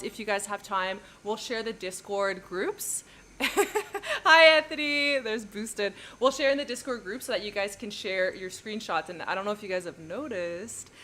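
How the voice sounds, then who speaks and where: Bright-voiced chatty French Canadian woman. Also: mid-range voice, a little hiss or hum. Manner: chatty, giggly, explains her cockpit steps aloud. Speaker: flight sim livestream host. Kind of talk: casual livestream chat while flying.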